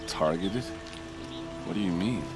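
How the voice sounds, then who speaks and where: An actor playing a gruff, hard-drinking warrior in a video game, blunt and wry in an informal way. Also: male voice, deep voice, a little slow, loud music behind the voice. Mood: puzzled, disbelieving.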